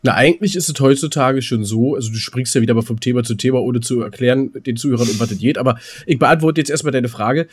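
A man's voice: medium pitch, quick pace, few pauses, husky. German man chatting as podcast co-host. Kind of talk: casual podcast banter.

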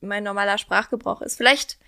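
A woman's voice: mid-range voice, steady tone, brisk, vocal fry, pushed and bright, clean tone. A young woman from Franconia, a guest on a podcast, chatting casually and thoughtfully with friendly hedging. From Franconia, Bavaria, Germany. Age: young adult. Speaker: podcast guest, content creator.

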